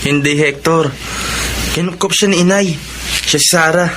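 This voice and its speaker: A Filipino actor playing a kind, sincere young son in a radio drama, explaining things earnestly. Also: male voice, on the high side, normal speed, noisy background, lightly acted.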